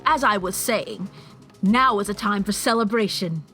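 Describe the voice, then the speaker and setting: A woman's voice: mid-range voice, moderate pace, fairly bright tone, soft background music, close to an everyday voice. A woman playing a haughty, villainous young changeling queen in a fan audio drama, theatrical and condescending as she lords it over her younger sister. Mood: gloating.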